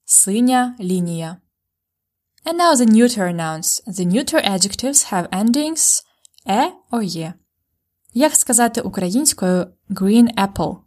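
A woman from Ukraine, speaking English with a Ukrainian accent, hosting a podcast language lesson and slowly modelling words for learners to repeat. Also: medium pitch, animated, a little mellow. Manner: clear, slow model pronunciations. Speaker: language teacher, podcast host.

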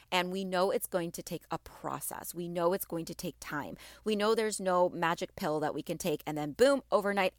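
A woman hosts a motivational podcast for mothers, her voice a little raspy and sitting on the low side. She speaks in an encouraging way and repeats phrases to drive each point home. The recording is dry and close, with no room sound. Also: no echo. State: slightly raspy from allergies and screaming. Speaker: podcast host.

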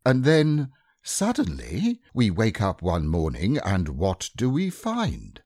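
A man's voice: fairly low pitch, huge pitch swings, a little mellow, no echo, studio quality, heavily acted. A man doing a bossy, fussy storybook rabbit. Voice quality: brisk, precise and self-important. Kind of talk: audiobook character reading.

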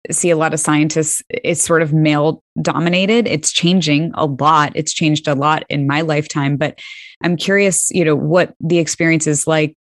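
Low-voiced woman host, dry sound. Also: dry recording. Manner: casual, affirming. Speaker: podcast host. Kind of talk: conversational interviewing.

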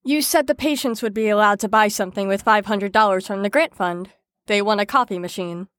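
An actress playing an earnest, dutiful female clinician in an audio drama, measured and clinical. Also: medium pitch, a bit bright, dry recording, studio quality, lightly acted. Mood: puzzled, insistent.

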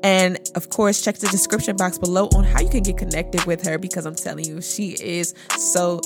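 A woman's voice: lowish voice, little pitch movement, fast talker, gravelly, over music. A woman in her twenties hosting a beauty podcast, bubbly and full of slang as she hypes up her guest. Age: 20s. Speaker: podcast host. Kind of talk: upbeat casual podcast chat.

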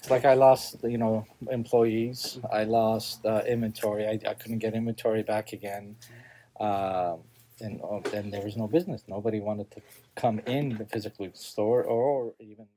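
A man answering interview questions casually as a small business owner, plainspoken and halting, repeating himself for emphasis. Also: on the low side, fairly even intonation, warm tone, slight background noise.

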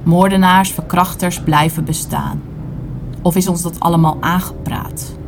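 A woman performing a literary audio play, narrating in short, deliberate dramatic phrases. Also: on the low side, steady tone, gravelly, noisy background. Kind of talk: literary spoken-word narration in second person.

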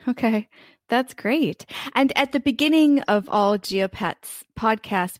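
A woman hosts a casual podcast interview in a mid-range voice. She encourages her guest with warm prompts and steady backchannel "mm-hmm"s. Her sound is close and dry, with no room echo. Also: medium pitch. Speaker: podcast host.

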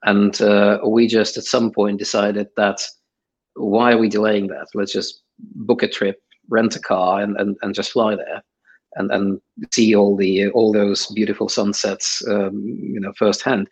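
A middle-aged man with a husky, breathy edge to a fairly low voice. He talks through his answers in a halting way, full of fillers and false starts. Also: level tone, low-quality recording. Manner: hesitant, many fillers and restarts. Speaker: guest. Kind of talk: conversational interview answers.

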